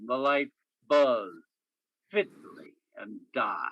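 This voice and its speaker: A man's voice: mid-range voice, takes it slowly, fairly bright tone, no room sound, lo-fi sound. A man playing a persistent, world-weary hard-boiled detective in a radio crime drama. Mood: brooding. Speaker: stage actor in a radio play. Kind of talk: old-time radio crime drama acting.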